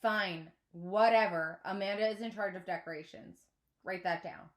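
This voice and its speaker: A woman's voice: on the low side, normal speed, dull audio, natural voice. Woman reading as a bossy, smug schoolgirl. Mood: bossy, impatient. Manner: haughty, emphatic, impatient.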